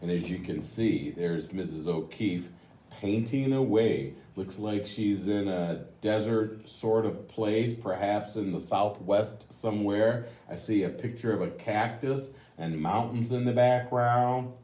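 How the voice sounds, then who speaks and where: A man reading a picture book aloud to children, gently explaining with chatty asides in a roomy, echoing recording. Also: lowish voice, unhurried. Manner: gentle, explanatory, addressing young listeners.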